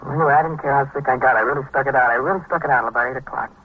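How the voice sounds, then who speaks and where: Fast-talking, jittery young drug addict. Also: male voice, medium pitch, husky. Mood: agitated, desperate. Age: young adult. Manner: rambling, halting, repetitive, agitated. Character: jittery, sick young male drug addict confessing to police. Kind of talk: vintage radio crime drama acting. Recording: dull, noisy vintage radio recording.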